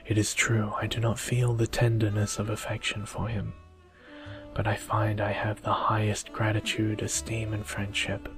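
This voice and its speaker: A man reading a kind, remorseful young storybook heroine in a gentle, earnest, old-fashioned manner. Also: fairly low pitch, completely flat delivery, normal speed, soft background music.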